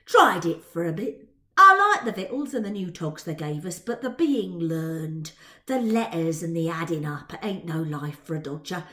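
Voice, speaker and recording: A woman's voice: on the low side, expressive, moderate pace, pushed and bright, echoey room, voice pushed down. A woman voicing a cocky, street-smart Victorian boy pickpocket with a Cockney accent, bragging in ungrammatical slang in a story reading. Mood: boastful, dismissive.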